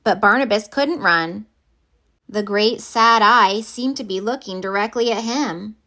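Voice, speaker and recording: A woman reading a children's picture book aloud in deliberate, expressive narration. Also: mid-range voice. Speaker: storybook reader.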